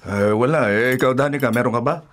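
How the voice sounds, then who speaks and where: A man's voice: mid-range voice, quick pace, a bit bright, close to an everyday voice. An actor playing a stern, demanding father of grown children in a radio drama. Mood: matter-of-fact.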